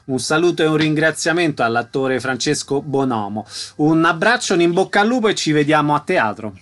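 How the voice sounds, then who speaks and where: A man's voice: fairly high pitch, lively intonation, fairly quick, fairly bright tone. A man hosting a casual interview in the manner of a radio chat. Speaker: interviewer, host.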